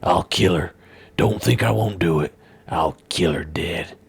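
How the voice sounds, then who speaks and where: A man voicing a gruff, menacing kidnapper who threatens in a slow, hissing half-whisper. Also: deep voice, full character voice. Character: gruff menacing male kidnapper, knife-wielding thug. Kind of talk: audiobook reading.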